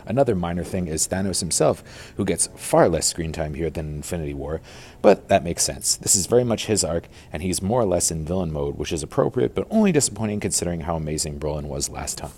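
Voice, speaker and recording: A man's voice: deep voice, lively intonation, fast talker, darkish tone, husky. A man delivering a scripted movie review voice-over as a film critic, with music playing behind him. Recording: music bed under the voice. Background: background music.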